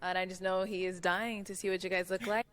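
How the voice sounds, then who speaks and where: A woman hosting a blind-date show, cheerful and quick to laugh as she explains the rounds. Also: medium pitch, flat delivery, fairly bright tone. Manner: upbeat, laughs often. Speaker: show host.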